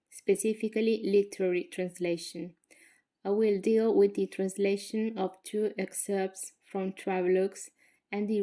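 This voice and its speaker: A young woman with an Italian accent reads her prepared thesis presentation in English. Her delivery is flat and even, careful and measured, with the odd slip as she corrects herself. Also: medium pitch. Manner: careful, measured reading with slips. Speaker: graduate student.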